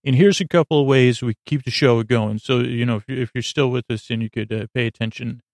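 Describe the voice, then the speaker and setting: A man hosting a bedtime podcast, meandering and soft-spoken, with frequent stammers and restarts. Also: mid-range voice, brisk, no room sound, clean studio sound. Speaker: podcast host. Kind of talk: rambling bedtime podcast talk.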